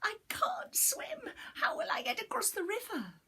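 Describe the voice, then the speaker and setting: A woman voicing a small, frightened gingerbread man in a children's story, with a high, squeaky, wailing voice. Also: very animated, moderate pace, creaky, sharp tone, exaggerated voice. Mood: panicked. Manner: frightened, fretful cries.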